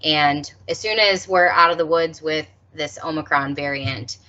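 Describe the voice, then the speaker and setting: A woman's voice: low voice, steady tone, measured pace, sharp tone. A woman who works with international students, presenting at an online orientation in an upbeat, joking way. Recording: dull, lo-fi video call audio.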